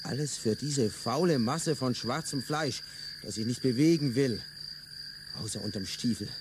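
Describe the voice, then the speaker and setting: Radio play: actor as a resentful French agent, mid-range voice, grumbling, chirping insects audible. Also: male voice, medium pitch, unhurried, noisy background, natural voice. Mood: bitter. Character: bitter, weary male French colonial agent.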